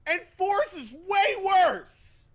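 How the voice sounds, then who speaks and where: High-voiced man, animated and unhurried. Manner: blunt, profane, emphatic. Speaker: podcast co-host. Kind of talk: casual opinionated chat about video games.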